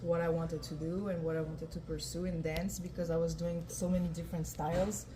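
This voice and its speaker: A woman from France, a guest dancer telling her story in a relaxed way, with many "you know" and "um". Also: lowish voice, a little fast. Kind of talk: casual interview talk. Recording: faint event noise.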